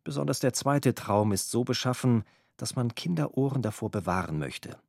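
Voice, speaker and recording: A man's voice: medium pitch, animated, moderate pace, creaky, darkish tone, husky, dry recording, clean studio sound. A man reading a written press report aloud from a script. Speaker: reader of a press article. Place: dry studio.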